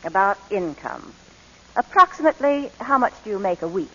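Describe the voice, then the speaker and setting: A crisp, exacting orphanage official in a 1940s radio drama. Her pitch moves a great deal, and the muffled old broadcast rings with reverb. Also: sing-song, normal speed, bright, forceful tone. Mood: businesslike. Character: brisk, exacting female orphanage official, businesslike. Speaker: adoption agency official.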